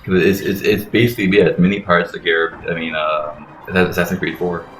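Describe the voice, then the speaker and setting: A man's voice: low-pitched, steady tone, fast talker, fairly bright tone, a little hiss or hum. A man giving casual game commentary, explaining things in a rambling way with frequent swearing. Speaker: let's play host explaining the game. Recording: roomy echo, faint game music behind.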